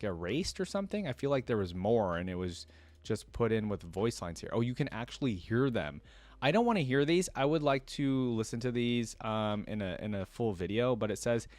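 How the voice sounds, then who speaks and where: A man hosting a reaction video, reading aloud and commenting informally, thinking out loud and talking straight to viewers. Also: medium pitch, no echo.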